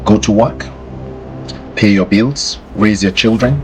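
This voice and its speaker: Very deep-voiced man, deliberate podcast host. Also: over music. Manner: deliberate, rhetorical questions, repeats phrases for emphasis. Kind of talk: scripted explanatory commentary to listeners.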